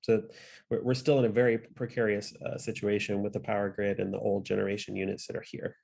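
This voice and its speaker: A man's voice: fairly low pitch, level tone, fast, vocal fry, a little mellow. Earnest American man presenting slides. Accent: American Midwestern. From Missouri, USA. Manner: earnest, conversational, frequent fillers and restarts. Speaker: conference speaker for a solar industry association. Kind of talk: online conference presentation with slides.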